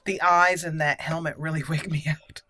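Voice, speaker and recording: Bright-voiced woman hosting a game playthrough. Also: deep voice, steady tone, normal speed, dry recording. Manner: chatty, playful asides. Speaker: gaming video host. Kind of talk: roleplay game commentary, partly reading dialogue choices aloud.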